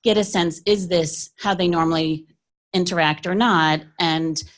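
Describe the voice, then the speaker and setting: A woman's voice: on the low side, steady tone, a little slow. A woman pediatrician in her forties, sharing her experience in a reflective, conversational way at a workshop.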